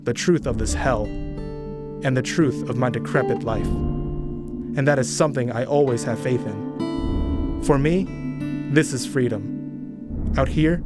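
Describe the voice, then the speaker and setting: Young man, audiobook narrator, slow brooding reading, mid-pitched voice, guitar music underneath. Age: young adult. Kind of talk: first-person audiobook narration.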